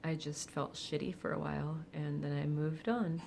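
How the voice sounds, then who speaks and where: A woman of forty speaking candidly and reflectively in a personal vlog, with soft laughs and hesitant fillers. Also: low-pitched, steady tone, moderate pace, vocal fry, slight background noise. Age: 40s. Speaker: vlogger. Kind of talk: personal confessional monologue.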